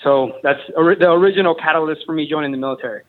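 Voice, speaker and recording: A man's voice: fairly high pitch, very fast. A man in his thirties from Mexico, a company founder and Army veteran, telling his story as an enthusiastic, jokey guest. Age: 30s. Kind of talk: casual interview chat. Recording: tinny phone-line audio.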